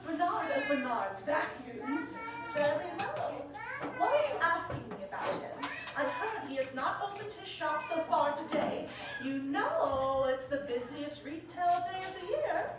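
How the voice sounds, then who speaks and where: A woman's voice: very high-pitched, very noisy, full character voice. A woman playing a coy, haughty shop owner in a 1940s radio drama, with an airy, affected manner. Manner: airy, affected, calling people darling. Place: theater stage, live performance. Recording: echoey stage, distant mic, audience.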